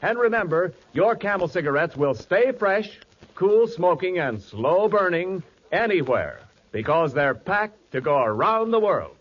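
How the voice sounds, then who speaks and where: A man's voice: sits high, very animated, a little slow, a little hiss or hum. A man reading a 1940s radio advertisement as announcer, in a polished, emphatic sales delivery. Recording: muffled old radio broadcast.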